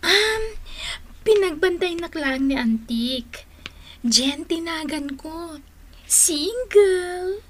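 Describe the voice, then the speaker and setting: Managallukoy a balasang, nakangatngato ti timekna. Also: female voice, extremely high pitch, lively intonation, very slow, fairly bright tone, a little hiss or hum, exaggerated voice. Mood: coquettish. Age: young adult. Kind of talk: Ilocano radio drama acting.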